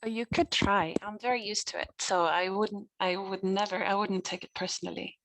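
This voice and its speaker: A woman from Norway speaking casually as a participant in an online panel discussion, with relaxed, hesitant talk. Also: mid-range voice, normal speed, background noise. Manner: relaxed, conversational, hesitant fillers. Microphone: video call.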